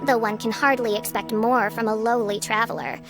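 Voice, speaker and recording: Lady doing a haughty young anime-game princess, high voice, super grand n dramatic, music behind. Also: fairly even intonation, normal speed, close to an everyday voice. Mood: condescending. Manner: pompous, archaic, declamatory. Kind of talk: video game voice acting.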